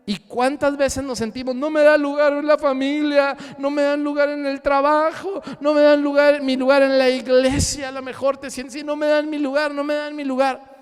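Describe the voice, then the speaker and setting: A man preaching a sermon as pastor, swinging between loud rising cries and hushed low asides. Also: clean tone, in a large hall. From Mexico. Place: church sanctuary.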